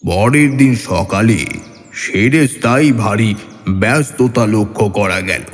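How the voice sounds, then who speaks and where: An actor playing a grave, fearless tantric guru who tells his own horror tale in a measured, commanding way. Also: fairly low pitch, in a large hall, faint music behind. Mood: calm, assured. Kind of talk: horror audio story narration.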